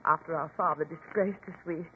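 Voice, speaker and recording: An actress playing a guarded, genteel elderly spinster in a 1940s radio drama, speaking formally and with measure. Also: female voice, low-pitched, steady tone, brisk, a little hiss or hum, lightly acted. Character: guarded elderly spinster, protective older-seeming sister. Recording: tinny old radio broadcast, music behind.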